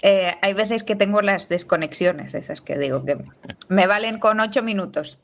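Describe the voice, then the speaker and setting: A woman from Argentina answering as a podcast guest in a relaxed, soft-spoken way. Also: mid-range voice, normal speed. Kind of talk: casual interview answers.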